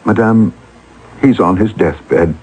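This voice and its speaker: A man's voice: fairly low pitch, takes it slowly, a little mellow, husky, poor audio. A man acting in an old-time radio drama, playing a scheming, persuasive friend of a struggling painter in a polite, theatrical way. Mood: polite, earnest.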